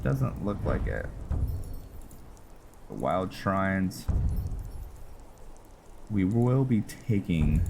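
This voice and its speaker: Dude with a deep voice, slow and chill, thinking out loud over game music. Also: male voice, gravelly, background noise. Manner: relaxed, musing aloud with frequent ums. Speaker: gamer playing a roleplay let's play. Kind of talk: casual gameplay commentary. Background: game music and rain sounds.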